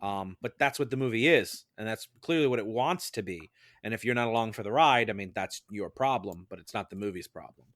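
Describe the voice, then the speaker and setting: A man discussing a film casually as a podcast juror, in a measured, halting way, thinking aloud. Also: fairly low pitch, expressive, fairly bright tone, no echo, studio quality. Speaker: podcast juror, jury foreman.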